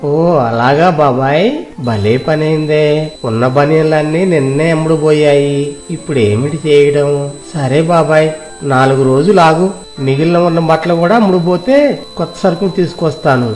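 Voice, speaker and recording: A man's voice: a little high, a little mellow, natural voice. A man playing a kind-hearted young village cloth pedlar in an audio moral story. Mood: friendly. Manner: sing-song drawn-out street calls when hawking. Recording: echoey room sound, music behind.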